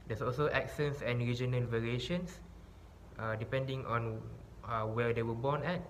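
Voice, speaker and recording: A man's voice: mid-range voice, fairly even intonation, normal speed, a little hiss or hum. A man giving a class presentation, reading his points with frequent fillers and restarts. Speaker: student presenter.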